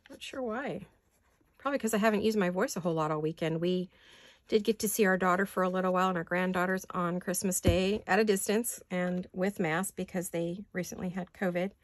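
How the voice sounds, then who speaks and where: An older woman with a raspy voice chatting casually in her home craft studio, rambling and thinking aloud as she works. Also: lowish voice, no room sound. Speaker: craft vlogger. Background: neighborhood garbage-day banging.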